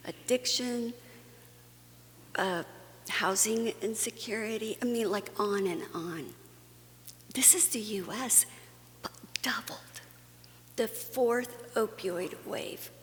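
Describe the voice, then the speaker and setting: A woman from Guatemala giving an earnest, conversational conference talk, with fillers and restarts. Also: mid-range voice. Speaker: conference speaker. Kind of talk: plenary talk to a faith audience. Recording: long hall reverb, faint hum.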